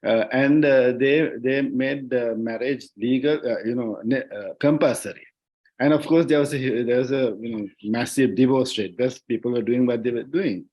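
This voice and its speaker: Reflective, halting Sri Lankan man. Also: mid-range voice, muffled recording. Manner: reflective, halting, searching for words with repeated phrases. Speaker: panelist, journalist and writer. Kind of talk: thoughtful discussion in an online panel. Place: online discussion call.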